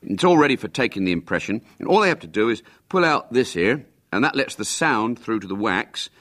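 Chatty, rambling tradesman, voiced by the male reader; lively lilt. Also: lowish voice, brisk, pitched above the performer's own voice. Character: chatty working-class delivery man, plain and helpful.

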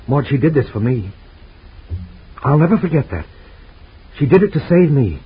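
A man's voice: fairly high pitch, sing-song, moderate pace, slightly rough, heavily acted. A man voicing an anguished husband in an old radio drama, pleading and emotional in a 1940s acting style. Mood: desperate. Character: anguished guilt-ridden husband. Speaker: lead actor. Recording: dull lo-fi old radio recording.

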